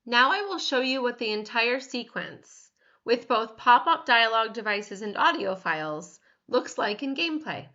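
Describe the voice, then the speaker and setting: A woman reading scripted step-by-step instructions as a tutorial narrator, measured and clearly enunciated. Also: on the high side, lively intonation, bright, forceful tone, muffled recording.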